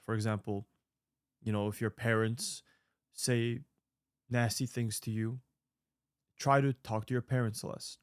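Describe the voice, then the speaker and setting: A man's voice: fairly low pitch, no echo, studio quality. A man in his late twenties giving casual, earnest advice to viewers, rambling with fillers and restarts. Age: 20s. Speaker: online creator answering viewer mail.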